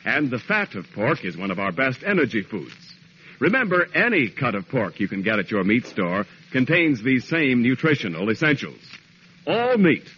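A man's voice: mid-range voice, bright, forceful tone. An American man announcing on 1940s radio, reading scripted copy with a polished, emphatic delivery. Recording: noisy muffled vintage radio recording.

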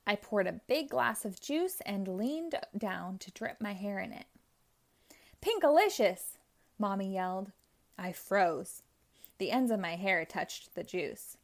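Slow, gentle woman reading a storybook. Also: medium pitch. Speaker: children's book reader. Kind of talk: storybook read-aloud. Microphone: audio only, close mic.

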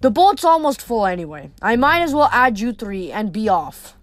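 Woman doing a dry greedy old ferryman of the dead, haggling, kinda high voice. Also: big pitch swings, normal speed.

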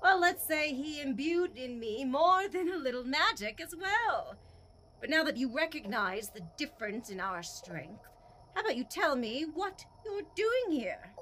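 A woman's voice: high-pitched, very animated, measured pace, a bit bright, a little hiss or hum, exaggerated voice. An actress playing a haughty, cruel female emperor in an audio drama, with an imperious, mocking, theatrical delivery. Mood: taunting. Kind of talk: audio drama villain acting.

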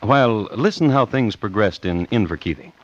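A man's voice: on the low side, expressive, moderate pace, dull audio. A man narrating a scripted 1950s radio crime drama in a florid, theatrical storytelling manner. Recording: vintage radio broadcast with music behind. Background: music.

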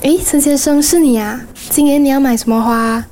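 Woman acting high, even-voiced flower-shop clerk. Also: a little fast, slight background noise, lightly acted. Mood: friendly. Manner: polite, familiar with customer. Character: friendly, chatty female flower-shop clerk. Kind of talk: radio drama acting.

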